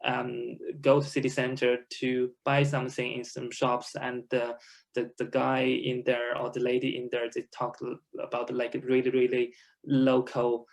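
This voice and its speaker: A man from China speaks English as his second language on an online alumni panel. His voice is gravelly and stays level in pitch. He hesitates often and leans on fillers. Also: monotone, moderate pace. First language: Chinese. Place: home, over a video call.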